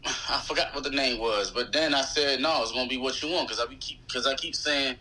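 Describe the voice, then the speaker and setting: Casting: male rapper guest, Philadelphia accent, bright forceful tone, slangy conversational storytelling. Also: medium pitch, fairly quick, poor audio. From West Philadelphia, USA. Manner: colloquial, slangy, animated retelling with quoted dialogue. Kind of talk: casual chat, storytelling.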